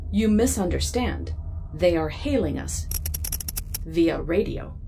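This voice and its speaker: A woman voicing a curt, literal, malfunctioning ship computer in an audio drama, with formal, clipped, deadpan delivery. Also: lowish voice, takes it slowly, a little hiss or hum. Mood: deadpan, matter-of-fact.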